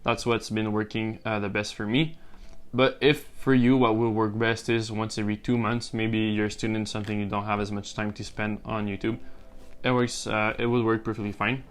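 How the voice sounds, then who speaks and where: A man's voice: fairly low pitch, moderate pace. Man chatting casually to camera. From French-speaking Canada. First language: French. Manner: relaxed, rambling with many uh and um fillers. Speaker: YouTuber. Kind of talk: casual talking-to-camera vlog.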